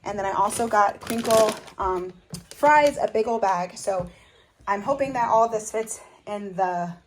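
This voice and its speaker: A young woman vlogging casually in her home kitchen, chatty and upbeat with a slightly hoarse voice. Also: medium pitch, measured pace, low-quality recording. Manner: chatty, upbeat, lots of fillers. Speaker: family vlogger. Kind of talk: casual chat to camera.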